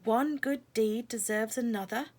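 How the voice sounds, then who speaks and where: A woman plays a kindly little caterpillar fellow from a children's storybook. He is sleepy and gentle, with a yawning, raised and slightly husky voice. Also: on the high side, a little slow, voice pushed up. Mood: helpful, drowsy. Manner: drowsy, yawning. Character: friendly sleepy male caterpillar turned moth, gentle and kindly.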